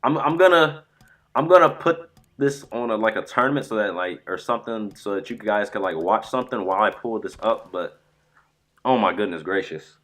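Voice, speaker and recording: Stuffy, excitable male game streamer. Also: fairly low pitch, a little fast. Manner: excitable, rambling, says "bro" a lot. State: stuffy from a sore throat. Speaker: gaming streamer. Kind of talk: rambling live-stream chat.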